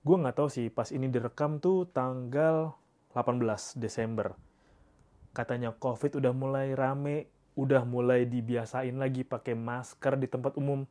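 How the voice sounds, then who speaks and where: A man talks in a relaxed, slangy way, like a solo podcast host talking straight to his listeners. His voice is stuffy and congested, as if he has a cold. He reads posts aloud and adds offhand comments of his own. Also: mid-range voice, normal speed. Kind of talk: casual solo podcast talk.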